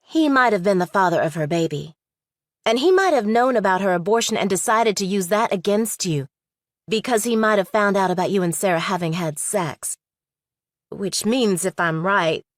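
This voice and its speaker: A woman acts a shrewd young female law student in audiobook thriller dialogue. She is direct and probing, with a medium-pitched voice in a dry recording. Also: lively intonation, moderate pace, no echo, natural voice. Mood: analytical, challenging. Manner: direct, questioning, analytical.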